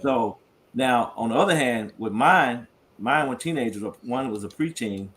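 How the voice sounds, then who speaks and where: A man's voice: mid-range voice, expressive, moderate pace, fairly bright tone. A man and stepfather reflecting on family challenges in a casual panel discussion, stumbling over a few words. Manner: reflective, with restarts.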